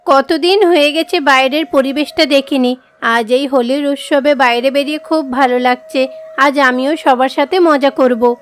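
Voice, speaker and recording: A woman voicing a meek, devout young village daughter in an animated moral story, earnest and plaintive. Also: high voice, moderate pace, lightly acted. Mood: joyful, grateful.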